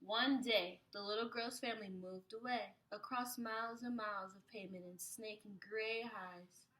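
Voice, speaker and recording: A woman reading a picture book aloud to young children like a preschool teacher, with expressive, animated phrasing. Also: medium pitch, normal speed, a little hiss or hum, low-quality recording.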